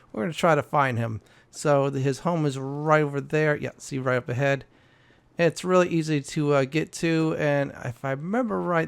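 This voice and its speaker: A man hosting a gaming walkthrough with casual commentary, halting often with filler uhs. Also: medium pitch, vocal fry, clean tone, no echo. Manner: halting, hesitant delivery with frequent uhs.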